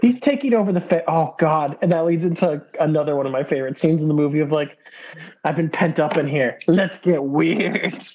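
A man's voice: fairly high pitch, fairly quick. Swearing man in lo-fi movie chat. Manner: swears often, animated quoting. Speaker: podcast co-host.

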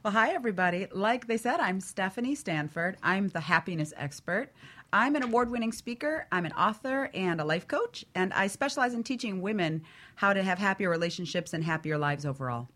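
A woman's voice: mid-range voice, lively intonation, fairly quick, a bit bright. A woman guest on a talk show, introducing herself and her work as a coach.

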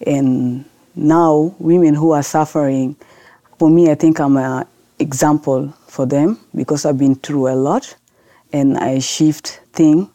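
Low, dark-voiced woman from South Sudan. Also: fairly even intonation, dry recording. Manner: earnest, halting non-native English with restarts. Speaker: guest, lawyer. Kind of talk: podcast interview answers.

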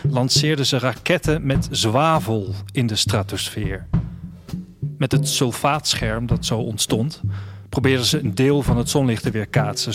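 A man's voice: mid-range voice, close to an everyday voice. An actor playing a reflective man of a future world, narrating a radio drama intimately in the first person. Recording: music under the voice. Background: music.

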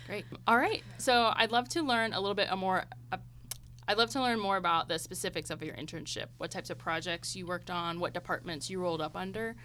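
A woman's voice: fairly low pitch, brisk, fairly bright tone. A young adult woman hosting an interview podcast, putting questions to guests. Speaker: podcast host. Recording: clearly audible background noise.

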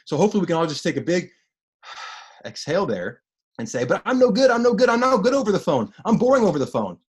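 A man with a deep voice preaching a sermon as pastor, animated and repeating phrases for emphasis. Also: fast talker, slightly rough.

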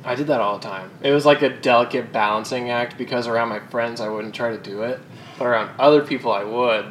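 A man's voice: mid-range voice, fairly even intonation, fast talker, fairly bright tone, a little hiss or hum. Upbeat young male podcast host. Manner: upbeat, casual swearing. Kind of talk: casual chat.

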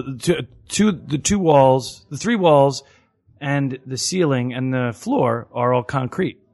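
A man chatting casually on a podcast, rambling and halting with frequent restarts and fillers. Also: medium pitch, darkish tone, no echo. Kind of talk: casual chat.

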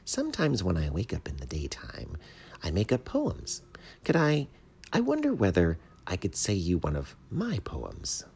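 A man's voice: low voice, huge pitch swings, unhurried, gravelly, a little mellow, husky, heavily acted. A man voicing a shy, earnest little bat who writes poems, tentative and naive, in a storybook reading. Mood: shy, hopeful. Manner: tentative, naive, easily bewildered.

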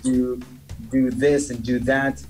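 A man who grew up in Dubai, a DJ talking casually as a guest, pausing often with fillers and restarts. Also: mid-range voice, flat delivery, soft background music. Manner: hesitant, many fillers and restarts. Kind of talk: casual interview chat.

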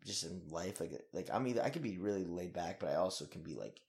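Rambling energetic young man, lowish voice. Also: fast talker, creaky. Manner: energetic, rambling, frequent fillers and swearing. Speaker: actor. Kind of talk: casual interview.

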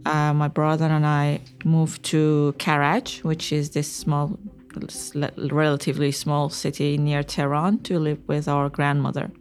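Young woman from iran, just chatting loose, lots of um and you know, quiet music under it. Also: low-pitched, unhurried. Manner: relaxed, chatty, with fillers and light laughs. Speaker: interviewee. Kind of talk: personal storytelling interview.

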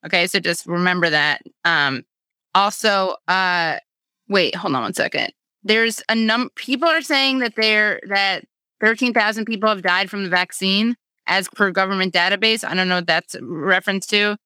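A woman hosting a casual online show over a video call, reading out viewer questions and tossing in sarcastic asides. Her voice is bright and forward, and the sound is close and dry with no room around it. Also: medium pitch, dry recording. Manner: sarcastic, quick asides while reading chat.

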